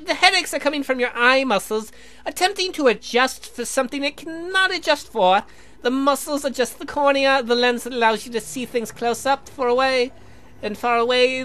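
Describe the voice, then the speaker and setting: A man voicing a polite, pedantic young scientist in a visual novel, speaking formally and haltingly as he explains. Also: very high-pitched, sharp tone, pitched above the performer's own voice, heavily acted. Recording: music behind the voice.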